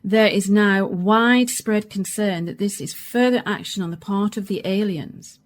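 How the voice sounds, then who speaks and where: An actress playing a serious radio announcer in a science fiction radio play, reading formally. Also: female voice, mid-range voice, normal speed, natural voice. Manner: formal, orderly bulletin delivery. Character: serious female radio news announcer reporting alien crisis. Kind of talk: radio play acting as scripted news reading.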